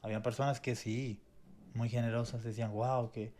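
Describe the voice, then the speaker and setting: A man's voice: medium pitch, flat delivery, normal speed, mellow. Venezuelan-born guy on a faith podcast, earnest testimony, lots of restarts. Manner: earnest, reflective, with many restarts and repetitions. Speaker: co-host.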